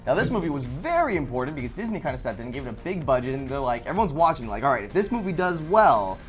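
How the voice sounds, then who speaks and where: A young man hosts a loose panel chat about games, rattling along at a quick clip. He rambles with laughing asides, and faint music sits underneath. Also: medium pitch, big pitch swings. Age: 20s. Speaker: host.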